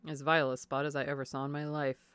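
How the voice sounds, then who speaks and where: A woman voicing a sour, bored, rude gentleman husband in a classic novel, with a disdainful drawl. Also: rumbling low, level tone, fast, clear, resonant tone, no room sound, studio quality, voice pushed down. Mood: curt, contrary. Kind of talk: audiobook character voice, classic novel.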